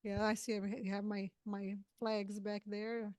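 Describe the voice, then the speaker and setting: A woman from Brazil, Portuguese her first language, hosting an interview over a video call and speaking in halting phrases with frequent restarts. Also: mid-range voice, little pitch movement, a little mellow, dry recording. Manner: halting, many restarts and fillers. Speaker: podcast host. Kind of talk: casual interview chat.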